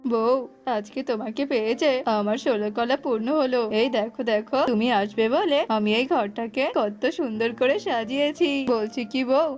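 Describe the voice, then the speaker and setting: A fussy young cartoon husband, acted by a woman, with a fairly high voice. He exclaims, pleads and repeats himself in an easily bewildered way. Light music plays behind him. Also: moderate pace, hardly any pauses, a little mellow, lo-fi sound, lightly acted. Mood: delighted, doting. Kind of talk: Bengali cartoon voice acting.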